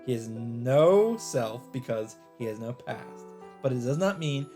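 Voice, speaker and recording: A man's voice: mid-range voice, expressive, measured pace, mellow. Man reading visual novel narration aloud over music. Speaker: let's play host reading aloud. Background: game music.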